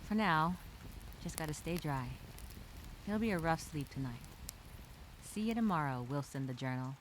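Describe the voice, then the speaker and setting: A woman voicing a resilient, chatty female castaway telling a survival diary, expressive and talking to herself. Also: low voice, moderate pace, poor audio. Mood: weary, wistful. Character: stranded castaway woman journaling, resilient, chatty, wryly upbeat. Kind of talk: first-person diary storytelling, acted.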